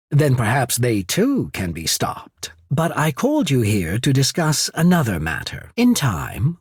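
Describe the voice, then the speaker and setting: A man playing a calculating imperial diplomat in a video game, speaking in a measured, formal, persuasive manner with a husky voice. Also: medium pitch, no echo, clean studio sound, full character voice. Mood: grave, formal, then stern, disapproving. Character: smooth, calculating male diplomat of an imperial trading power. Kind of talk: scripted video game character dialogue.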